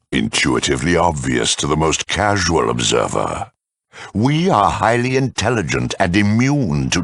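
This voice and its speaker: A huge mutant lieutenant, a video game villain with an extremely low, husky growl. He is eloquent, smug and zealous, swinging theatrically in pitch as he talks down to his listener. The sound is close and dry, with an air of polite menace. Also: fairly bright tone, no room sound, heavily acted. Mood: condescending, amused. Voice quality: very deep, gravelly, rasping. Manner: condescending, eloquent, slow and deliberate.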